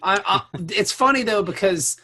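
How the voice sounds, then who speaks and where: Male co-host, high bright voice, excitable casual storyteller who exaggerates freely. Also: normal speed. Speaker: podcast co-host. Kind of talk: casual animated chat and anecdotes.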